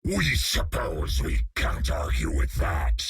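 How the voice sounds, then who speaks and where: An actor voicing a menacing alien symbiote monster in a video game, with a hissing guttural rasp and ominous formal speech. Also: male voice, extremely low pitch, wildly swooping pitch, slow, a bit bright, dry recording, clean studio sound, full character voice. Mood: grim. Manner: ominous, formal, calls itself "we". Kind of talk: video game character lines.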